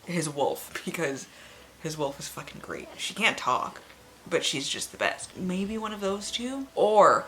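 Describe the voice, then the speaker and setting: Woman, late 20s, low register. Casual book vlogger, candid, self-deprecating, loosely rambling. Faintly noisy home recording. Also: big pitch swings, unhurried, a bit bright. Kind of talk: casual, chatty book vlog.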